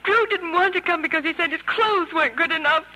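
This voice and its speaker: A woman's voice: very high-pitched, a little fast, pushed and bright. An actress playing an earnest, lovesick young society woman in a 1950s radio drama, with breathless, emotional delivery. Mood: distressed. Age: young adult. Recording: noisy old radio broadcast.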